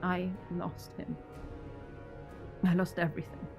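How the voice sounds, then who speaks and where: A woman's voice: on the low side, completely flat delivery, a little slow. A woman acting in an audio drama as a grieving, bitter but resilient survivor, with intense emotional delivery. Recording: music behind the voice.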